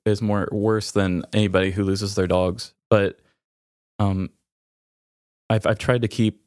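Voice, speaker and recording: A man hosting a podcast, talking candidly and personally in a hesitant, halting way that often interrupts itself. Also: deep voice, darkish tone, dry recording, clean studio sound. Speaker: podcast host.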